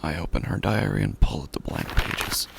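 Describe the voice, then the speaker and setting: An actor playing a haunted, grieving, quietly unhinged husband who narrates a horror audio drama in halting fragments with a breathy, gravelly whisper. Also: male voice, lowish voice, very noisy. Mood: hushed, resolute. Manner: halting, fragmented, drawn-out phrases.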